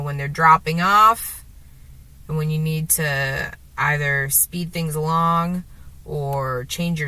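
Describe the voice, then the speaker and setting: A woman with a sore throat and a gravelly, deeper voice, rambling hesitantly to the camera from her car, with many fillers and restarts. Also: pushed and bright. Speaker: vlogger. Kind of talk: rambling casual monologue while driving.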